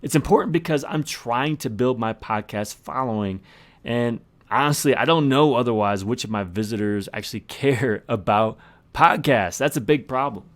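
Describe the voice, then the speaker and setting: A man's voice: medium pitch, moderate pace. A man hosting a podcast, explaining tips in a scripted monologue with emphatic, short punchy phrases. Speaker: podcast host.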